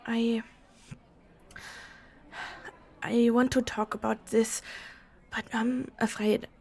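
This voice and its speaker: A woman playing a nervous, secretive German desk clerk in an audio drama, with a German accent and a halting, hushed, stammering delivery. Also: a little high, little pitch movement, gravelly, slightly rough, exaggerated voice. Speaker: voice actor. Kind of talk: cinematic audio roleplay acting.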